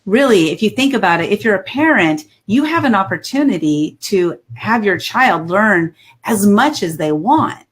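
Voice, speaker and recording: A woman's voice: medium pitch, lively intonation, normal speed, few pauses, husky. A woman hosting an interview over a video call, chatty and rambling, often laughing. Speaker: podcast host. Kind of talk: casual interview chat.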